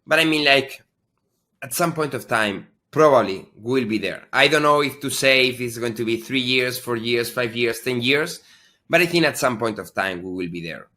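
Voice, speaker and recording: A man's voice: mid-range voice, normal speed. A man with a slight Spanish accent, a guest in an interview, speaking loosely and filling his sentences with "like" and "I mean". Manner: relaxed, loose phrasing with many "like" and "I mean". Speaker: guest AI expert. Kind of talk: interview conversation.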